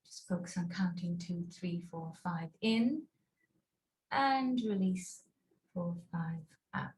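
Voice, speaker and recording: Soft-spoken woman leading a visualization. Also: fairly low pitch, low-quality recording. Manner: soft, slow, soothing instructions with pauses. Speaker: guided visualization leader. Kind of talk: guided relaxation and visualization. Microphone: video call.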